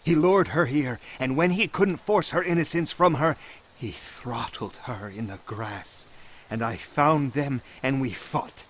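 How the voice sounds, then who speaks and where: An actor playing a lying villager in an audio drama, halting and emphatic as he makes his accusation. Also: mid-range voice, lightly acted, low-quality recording. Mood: accusing, agitated. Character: lying male villager killer, grimly accusing a foreigner.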